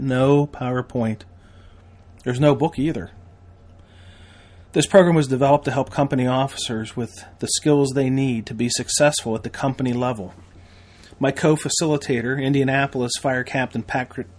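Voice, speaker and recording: Emphatic American man pitching on a podcast. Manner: emphatic, folksy sales delivery with repetition and rhetorical questions. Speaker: podcast host and firefighter training instructor. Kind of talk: promotional podcast monologue.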